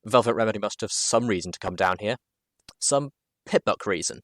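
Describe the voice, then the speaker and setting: A man narrating a first-person story with expressive, dramatic delivery. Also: lowish voice, a little fast. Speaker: audiobook reader, show host.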